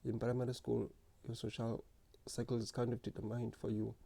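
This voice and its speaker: A man telling his own story in a slow, earnest, reflective way, stuttering often with repeated words and restarts. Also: mid-range voice, flat delivery, vocal fry, dark tone. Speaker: speaker sharing lived experience. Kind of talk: personal reflective monologue.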